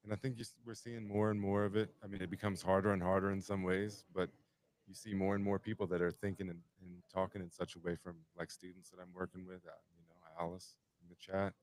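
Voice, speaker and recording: A man's voice: deep voice, level tone, fast, a little mellow. A man hosting a live stream, upbeat and encouraging in casual talk.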